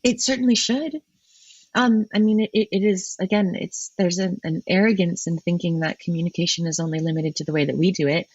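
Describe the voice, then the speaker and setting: Woman guest, husky voice, explains science thoughtfully with many restarts, dull muffled audio. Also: on the low side, fairly even intonation, brisk, darkish tone, dry recording. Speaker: guest marine ecologist. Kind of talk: podcast interview answers.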